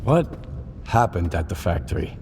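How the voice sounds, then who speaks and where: A very low male voice over faint ambience, a guarded scavenger in a video game. He is terse, gruff and dryly skeptical. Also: big pitch swings, normal speed, a little mellow. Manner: terse, dry. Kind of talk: video game cutscene acting. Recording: faint ambient noise behind voice.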